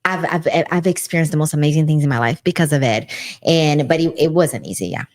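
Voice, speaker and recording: Earnest Brazilian-accented woman, low-pitched. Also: brisk, darkish tone. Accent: Brazilian Portuguese. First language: Portuguese. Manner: earnest and emphatic, swinging high in pitch. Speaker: co-host. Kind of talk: casual conversation.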